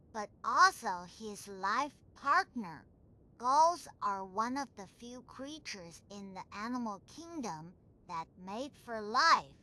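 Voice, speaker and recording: A woman narrating a scripted bedtime sleep story in a soothing, measured, unhurried manner. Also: medium pitch, sharp tone.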